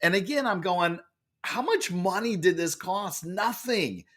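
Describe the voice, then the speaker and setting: A middle-aged man with a loud voice, an author and speaker telling a story as a podcast guest, animated and expressive. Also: unhurried. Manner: expressive, acts out quoted lines with big jumps in pitch.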